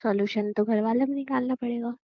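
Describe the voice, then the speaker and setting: A woman acts out a young wife in a romantic call drama, worried and questioning yet teasing. Her voice sits fairly high. The sound is close and dry, a bit dull and lo-fi. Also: fairly even intonation, fast, dry recording. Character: worried, doubtful young wife, playfully threatening. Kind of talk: romantic phone-call style audio drama in Hindi.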